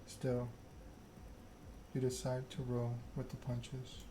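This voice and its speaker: A man reading a story aloud in an ASMR narration style, measured and unhurried. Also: mid-range voice, completely flat delivery. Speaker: video game streamer reading game text aloud. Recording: noticeable background noise.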